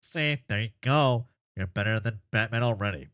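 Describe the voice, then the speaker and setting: A man's voice: fairly low pitch, normal speed, a bit bright, no echo, studio quality, lightly acted. A man playing an earnest, goofy, chatty boy full of silly opinions, rambling playfully in an amateur comic dub. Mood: amused.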